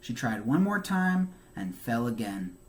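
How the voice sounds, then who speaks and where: Male storybook narrator, teacherly, expressive and emphatic, widely varied pitch. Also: unhurried. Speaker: teacher reading a children's book aloud.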